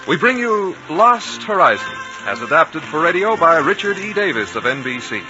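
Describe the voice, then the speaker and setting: A man's voice: a little high, big pitch swings, normal speed. A man with an American accent announcing formally and in stately style on a 1950s radio broadcast. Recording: dull vintage broadcast, loud music behind.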